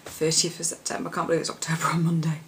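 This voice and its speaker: A young woman with a husky voice, chatting casually about her favourite products in a rambling, filler-heavy way. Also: deep voice, monotone, racing, fairly bright tone. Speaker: beauty vlogger. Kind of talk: casual product chat to camera.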